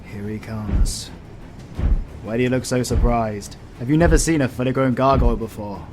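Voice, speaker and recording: A man's voice: mid-range voice, normal speed, natural voice. A man with a British accent playing a stern, sardonic demon hunter who mentors his apprentice in a fantasy audio roleplay, dry and commanding. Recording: music behind the voice. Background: music.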